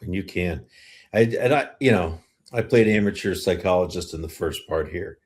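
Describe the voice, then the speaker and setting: A man's voice: sits low, moderate pace, a little mellow. A middle-aged man hosting a sports podcast, asking and reacting in a conversational interview style. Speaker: podcast host.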